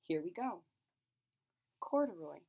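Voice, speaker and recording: Animated woman reading a children's story. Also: on the low side, takes it slowly, vocal fry. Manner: simple, child-directed explanations. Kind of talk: animated children's read-aloud with explanatory asides.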